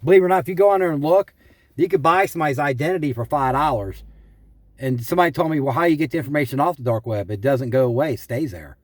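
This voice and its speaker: Male caller, slightly high-pitched and dry-sounding, complaining conversationally and retelling exchanges with some exasperation. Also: fast talker, no room sound. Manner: repeats himself, quotes past exchanges, exasperated complaining. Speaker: caller reporting identity theft and scam calls. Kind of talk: casual phone conversation, telling anecdotes.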